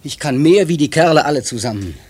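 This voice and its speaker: A man's voice: a little high, expressive, fast, a little mellow, slightly rough. An actor playing a defiant, boastful young male painter, lovesick and touchy, in a German radio play. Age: young adult. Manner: braggart, insistent, volatile.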